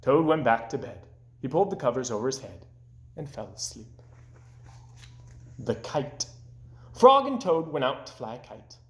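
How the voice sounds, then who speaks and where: A man reading a children's story aloud with animated narration, recorded in an echoey room. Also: medium pitch, moderate pace, long pauses. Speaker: storybook reader.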